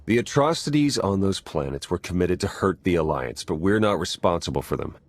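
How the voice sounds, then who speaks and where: Game strategist, low voice, husky, pragmatic, measured, sober. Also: male voice, expressive, dry recording. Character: pragmatic, level-headed male military strategist.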